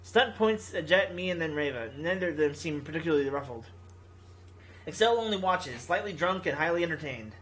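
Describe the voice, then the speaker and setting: A man narrates a visual novel for a gaming video with very lively, swinging pitch. He sometimes trips over his lines and reads them again. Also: a little fast, a little hiss or hum, poor audio. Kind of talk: reading a visual novel aloud, voicing characters.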